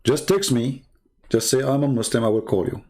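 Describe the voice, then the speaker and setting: Gravelly Arabic-accented man challenging callers. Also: mid-range voice, lively intonation, a little fast, darkish tone. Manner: challenging, taunting, inviting callers. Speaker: online debate host. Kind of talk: live-streamed religious debate talk.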